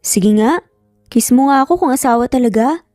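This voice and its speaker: A woman's voice: on the high side, lively intonation, moderate pace, warm tone, dry recording, studio quality, lightly acted. A Filipino woman voicing a sassy, playful young woman in love with cheeky, bantering delivery. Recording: music behind the voice.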